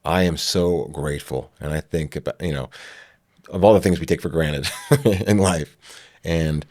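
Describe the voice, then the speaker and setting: A man's voice: extremely low pitch, normal speed, a little mellow. A man hosting an interview, talking in a reflective way and asking follow-up questions. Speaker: host. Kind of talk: casual interview conversation.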